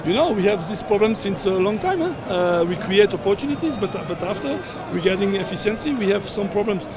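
An older man coaching football, speaking at a post-match press scrum outdoors, halting with many fillers and repeated words. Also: high voice, animated. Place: outdoors at a sponsor backdrop, surrounded by reporters. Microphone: cluster of handheld reporter mics and phones.

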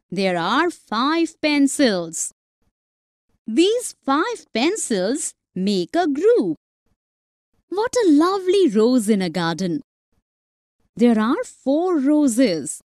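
Woman teacher doing a kids math lesson, super slow, bouncy pitch, dry close sound. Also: a little mellow, no echo. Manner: slow, deliberate counting aloud. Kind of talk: slow scripted math lesson for young children.

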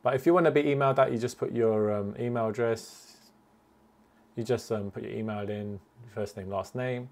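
A man gives a casual, conversational commentary in a mid-pitched voice. He thinks aloud as he goes, like an informal video host walking viewers through a product. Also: steady tone, moderate pace, darkish tone. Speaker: flight sim video host. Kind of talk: casual product commentary over a screen recording.

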